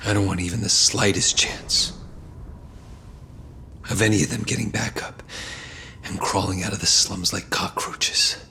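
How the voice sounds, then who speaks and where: An actor playing a ruthless, imperious politician crime boss in a video game, arrogant and volatile. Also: male voice, low voice, fairly even intonation, measured pace, gravelly, long pauses, bright, forceful tone, a little hiss or hum, full character voice. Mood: cold, commanding. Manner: imperious, profane. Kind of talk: English video game voice acting.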